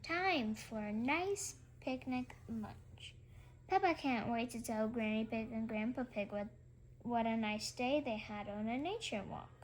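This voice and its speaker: A woman's voice: fairly high pitch, unhurried, a little hiss or hum, rough recording. A woman reading a children's story aloud as a narrator. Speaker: storybook reader.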